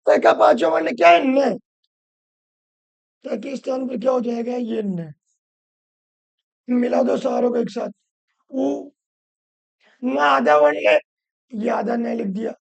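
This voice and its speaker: A woman teaching Hindi grammar, hoarse from a cold, asking questions and answering them herself while repeating the rules loudly. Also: a little high, slow pace, long pauses, no echo. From Rajasthan. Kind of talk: classroom-style lesson.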